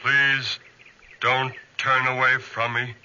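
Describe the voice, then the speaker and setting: A towering, mournful man-made monster drags out every halting word with extreme slowness. The tone is hard, forceful and piercing, full of menace and plea. It comes through a noisy 1940s radio horror recording. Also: mid-range voice, little pitch movement, dull audio. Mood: pleading, insistent. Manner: halting, heavily deliberate delivery.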